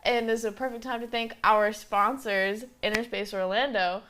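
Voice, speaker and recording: A woman's voice: a little high, steady tone, moderate pace, a bit bright, slight background noise. A young woman in her twenties with a slight Southern twang, hosting her podcast in a chatty, rambling way with lots of fillers. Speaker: podcast host. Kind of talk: casual solo podcast monologue.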